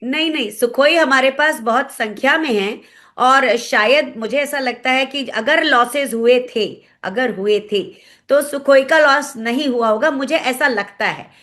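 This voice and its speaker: A woman with an Indian accent giving analytical commentary in an interview, explaining things and pausing to search for words. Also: high-pitched, fairly bright tone. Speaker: guest commentator, former pilot.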